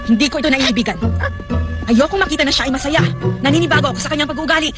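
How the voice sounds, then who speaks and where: A woman's voice: high-pitched, rapid-fire, lightly acted. A woman playing a vain, jealous, imperious queen in a dubbed fairy-tale cartoon, with a haughty, commanding manner. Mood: stern, cold. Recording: loud music behind the voice.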